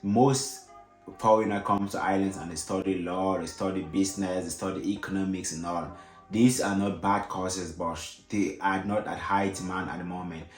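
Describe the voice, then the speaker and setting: Deep-voiced man, French native, echoey room. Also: fairly even intonation, moderate pace. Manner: rambling, many fillers and restarts. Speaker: vlogger. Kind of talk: casual advice vlog. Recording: echoey room with faint music.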